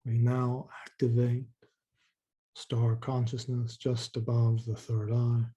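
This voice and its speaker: A man leading a guided meditation in a soft, slow, calming way, with long pauses and repeated words. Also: medium pitch, breathy edge, muffled recording.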